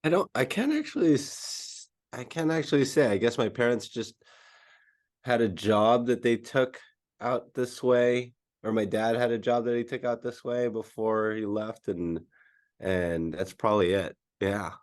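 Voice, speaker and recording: A man's voice: medium pitch, unhurried. A man from the Philippines who moved to California young, chatting informally and a little hesitantly as a podcast guest. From Philippines, moved to California as a child. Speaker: podcast guest, mobile home renovation business owner. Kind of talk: casual chat.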